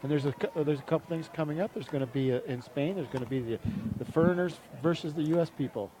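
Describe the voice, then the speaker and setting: A man giving chatty, excitable live commentary on a sports match. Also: fairly high pitch, fast, a little hiss or hum, rough recording.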